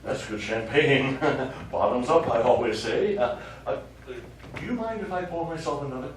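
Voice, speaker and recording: A man on stage plays a self-satisfied, lecherous lawyer, theatrical and leering. His middle-pitched voice comes from a distance in a very echoey hall, with faint noise behind it. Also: moderate pace, close to an everyday voice. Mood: tipsy joviality. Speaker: stage actor. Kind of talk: live comic one-act stage play acting. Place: small theatre studio stage.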